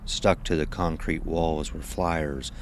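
A man's voice: a bass voice, fairly even intonation, slow. A man narrating a scripted horror story in a literary, dramatic style. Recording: noisy ambient sound bed.

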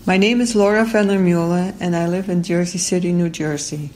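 A woman in her sixties from the Netherlands, calmly giving a prepared statement about her artwork. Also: on the low side, a little fast. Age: 60s. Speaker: exhibiting artist.

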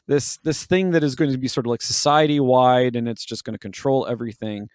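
A man's voice: medium pitch, steady tone, fairly quick, smooth, dry recording, clean studio sound. A man co-hosting a podcast, talking analytically and searching for his words with frequent self-corrections. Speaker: podcast co-host. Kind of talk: analytical discussion of a video game.

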